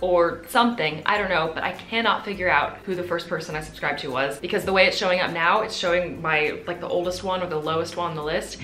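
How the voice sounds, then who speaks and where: Soft-spoken woman in an echoey room. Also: fairly low pitch, quick pace, few pauses, slight background noise. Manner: soft-spoken, chatty with fillers. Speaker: YouTuber. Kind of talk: casual talk to camera. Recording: roomy echo.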